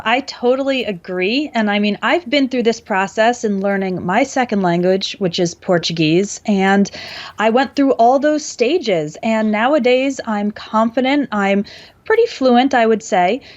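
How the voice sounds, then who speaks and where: A woman's voice: medium pitch, unhurried, muffled recording. A woman speaking as a guest English teacher, explaining things conversationally.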